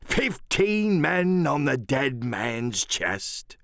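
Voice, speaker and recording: A man's voice: mid-range voice, big pitch swings, drawn-out words, vocal fry, a bit bright, no echo, clean studio sound, heavily acted. A man voicing a hearty one-legged sea cook and old pirate in an audiobook. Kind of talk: audiobook character acting.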